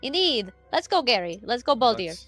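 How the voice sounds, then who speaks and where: A woman's voice: on the high side, very animated, moderate pace, a bit bright, soft background music. A woman sitting in as a guest player in a tabletop roleplay game, talking casually.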